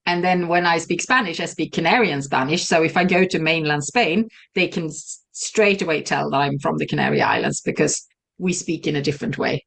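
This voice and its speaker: A woman with a southern Swedish accent, speaking English as a guest in a casual interview, friendly and quick to laugh. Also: low voice, vocal fry, fairly bright tone. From Sweden. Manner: friendly, laughs easily, fillers. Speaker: guest, virtual assistant.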